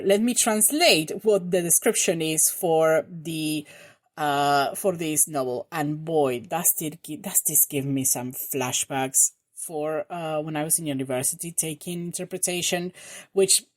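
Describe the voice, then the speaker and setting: A woman's voice: sits low, a little slow. A young woman from Argentina, a congested voice, chatting and rambling to her channel from home. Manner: rambling, self-correcting, chatty. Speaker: reaction channel host. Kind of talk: casual chat to camera.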